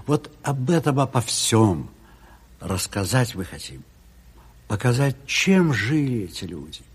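A man speaks slowly and earnestly as he introduces a stage play. His voice is husky and oratorical, with emphatic pauses, carried in long hall reverb. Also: medium pitch, a little mellow. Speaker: theatre company presenter introducing a play. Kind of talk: solemn introductory address to an audience.

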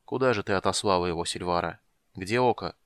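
An ancient male wizard, grave and measured, slipping between sternness and forgetful kindness, read by a man narrating the book. The voice sits low and plain, recorded close and dry with no room around it. It unhurriedly weighs every word. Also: fairly bright tone, no echo. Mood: weary.

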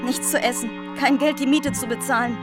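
An actress plays a young gentlewoman in a radio drama, earnest and proud. Her voice sits a little high, with formal wording. Music is clearly heard behind her. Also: fairly even intonation, fairly quick, close to an everyday voice. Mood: indignant. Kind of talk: literary radio drama acting.

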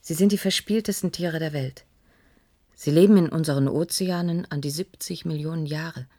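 An actress playing a grave woman in a German radio play, explaining in measured, deliberate dramatic phrases. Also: low voice, mellow. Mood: grave, wistful. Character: grave woman explaining a scientist's secret work.

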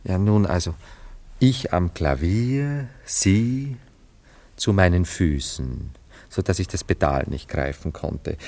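A low male voice in the manner of a radio drama. He is a wistful, self-absorbed romantic who muses theatrically over old loves and savors his words. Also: a little mellow, full character voice. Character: nostalgic, self-absorbed romantic man recalling past love affairs. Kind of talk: German radio play acting.

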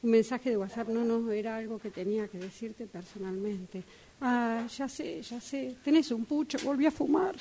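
A woman in her sixties with an Argentine accent performs a drama exercise. Her voice is dark and mellow, her delivery halting. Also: on the high side, a little hiss or hum. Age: 60s. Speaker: radio drama workshop student. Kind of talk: acted dialogue exercise.

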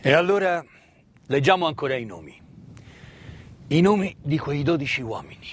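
A man delivers a theatrical monologue as a storyteller, solemn and emphatic, with deliberate pauses and repetitions. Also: a little high, big pitch swings, breathy edge. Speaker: stage actor and storyteller.

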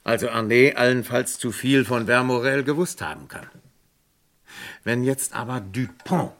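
A man playing a courteous, methodical and firm French police commissioner in a formal, measured German radio crime drama style of the mid twentieth century. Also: mid-range voice, fairly bright tone, close to an everyday voice. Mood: deliberating.

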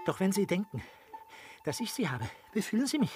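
An actor playing a rude, defensive estate bailiff who blusters and repeats himself indignantly in a radio comedy. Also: male voice, sits high, sing-song, a little fast, noisy background. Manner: brash, defensive, repetitive blustering. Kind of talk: German radio play acting of classic comedy.

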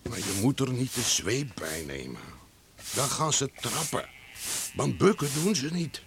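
An actor playing a kindly, earnest neighbour giving careful advice in a radio play, in a gravelly voice. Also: male voice, mid-range voice, wildly swooping pitch, exaggerated voice. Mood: earnest, admonishing. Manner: slow, hesitant, admonishing. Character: kindly, earnest, meddling old-fashioned male neighbour. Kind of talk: Dutch radio play acting. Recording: noisy old radio recording, sheep bleating. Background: sheep bleating.

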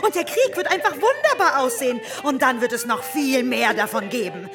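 Indignant wife and mother, higher voice, bitter, accusing, blunt, radio play, loud music underneath. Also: expressive, fairly quick. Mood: angry, bitter. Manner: accusing, forceful phrasing, bitter. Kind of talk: radio drama acting.

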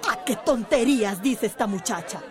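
A woman's voice: fairly high pitch, expressive, moderate pace, a crowd in the background, natural voice. An actress playing a defensive, authoritative small-town woman mayor in a radio soap opera. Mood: scornful. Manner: authoritative, indignant.

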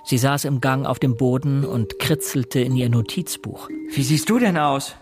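An earnest, self-doubting young investigator played by a male actor narrates a radio crime drama. His mid-pitched voice sits over clearly audible music. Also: normal speed, natural voice. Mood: earnest, reflective. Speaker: narrator and protagonist.

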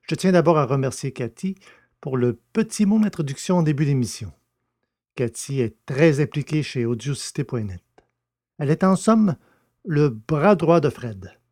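Man presenting and narrating, medium-pitched voice. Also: darkish tone. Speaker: podcast host and narrator. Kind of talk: scripted presentation and audiobook narration.

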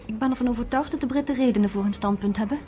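Actress as cool independent lady, higher voice, formal, polite, guarded, radio play. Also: racing, warm tone, lightly acted. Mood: coolly dismissive. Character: cool, reserved American woman, polite but firmly independent.

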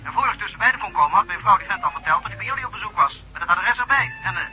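An actor playing a chatty, concerned male neighbour on the telephone in a radio drama, talking in a brisk, confiding way. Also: high voice, animated, pushed and bright, faint music behind, exaggerated voice. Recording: dull lo-fi radio recording.

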